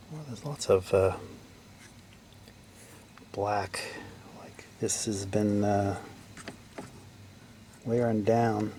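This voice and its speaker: A man giving casual hands-on repair commentary, haltingly thinking aloud as he works. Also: on the low side, vocal fry, a little mellow. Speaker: repairer demonstrating his work. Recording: faint background noise.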